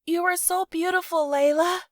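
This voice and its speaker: A woman's voice: very high voice, fairly even intonation, moderate pace, no echo, clean studio sound, voice pushed up. A woman voicing a shy, adoring little sister, a young girl with a sweet, hesitant, childlike manner, in an audio storybook. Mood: eager, adoring.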